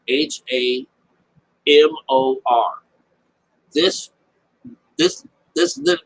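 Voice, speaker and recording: Husky-voiced man teaching informally. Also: medium pitch, a bit bright, poor audio. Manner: rambling explanation with frequent check-ins like "all right?" and "okay?"; repeats phrases for emphasis. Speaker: Bible teacher. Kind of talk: informal, unscripted Bible commentary.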